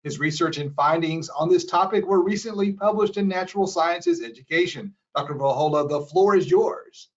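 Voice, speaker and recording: Higher-pitched man moderating a webinar. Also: normal speed, hardly any pauses. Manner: easygoing, stumbles over phrases. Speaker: moderator, associate professor. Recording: dull lo-fi webinar audio.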